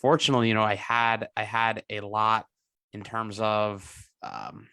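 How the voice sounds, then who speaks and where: Guest, 20s man, lowish voice, dry close sound, earnest and halting. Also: animated, pushed and bright, clear, resonant tone, no echo. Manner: earnest, halting with many restarts and fillers. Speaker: guest entrepreneur. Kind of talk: interview.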